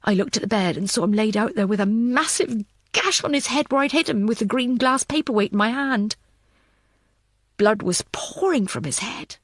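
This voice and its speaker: A woman's voice: fairly high pitch, no room sound, studio quality. A woman reading a scripted thriller audiobook as narrator.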